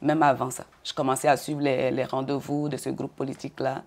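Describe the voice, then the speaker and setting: An older woman from Côte d'Ivoire answering interview questions in a measured way, often repeating words as she goes. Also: extremely low pitch, level tone, a little mellow. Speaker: interview guest.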